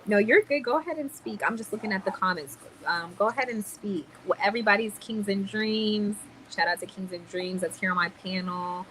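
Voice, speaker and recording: A woman's voice: medium pitch, a little fast, few pauses, fairly bright tone, slight background noise, low-quality recording. A woman hosting a livestream panel, chatting bluntly and casually with slangy speech. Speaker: livestream host.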